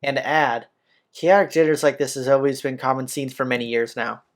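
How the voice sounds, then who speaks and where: A man's voice: medium pitch, steady tone, normal speed. A man hosting a playthrough, reading a visual novel's narration aloud and now and then stumbling on words. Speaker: video game playthrough host. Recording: music behind the voice. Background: game soundtrack music.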